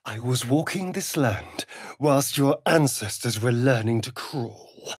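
An actor playing a sardonic, vain vampire rogue in a video game, with an arch, theatrical, drawling delivery. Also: male voice, mid-range voice, slow pace, slightly rough, no echo, clean studio sound. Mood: arch, amused. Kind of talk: video game character lines.

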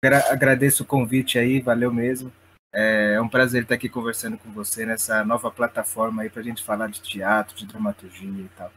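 A man's voice: fairly low pitch, level tone, brisk, a bit bright, slight background noise. A man with a São Paulo Brazilian accent speaking casually as an interview guest, hesitant and often checking in as he explains. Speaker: guest, theatre director and playwright.

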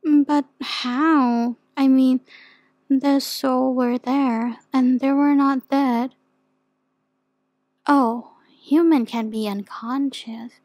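Woman acting as a shy innocent demon girl, asmr roleplay, slow n kinda high, super close dry sound. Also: no echo. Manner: hesitant, innocent, gently questioning. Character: soft, naive, insecure young female demon.

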